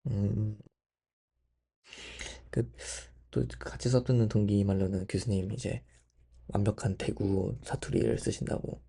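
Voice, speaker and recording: Low gravelly young man, dark voice. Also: fairly even intonation, unhurried, pauses often. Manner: rambling, self-interrupting, thinking aloud. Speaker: medical student. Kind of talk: casual study vlog monologue.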